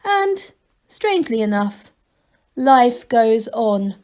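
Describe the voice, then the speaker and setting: A woman voicing an ancient gnome abbot in a storybook reading, smooth, condescending and mockingly kind. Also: fairly high pitch, very animated, takes it slowly, darkish tone. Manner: smooth, condescending, mock-kindly.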